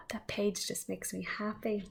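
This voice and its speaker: A woman's voice: medium pitch, fairly even intonation, takes it slowly, vocal fry, a little hiss or hum. A woman, a teacher reading a picture book aloud expressively with small asides.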